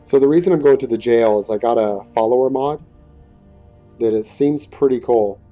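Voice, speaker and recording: A man giving casual let's play commentary in a hesitant, low-key, conversational way. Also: moderate pace, warm tone. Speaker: gaming video creator. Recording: dull muffled audio, faint music behind. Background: faint game music.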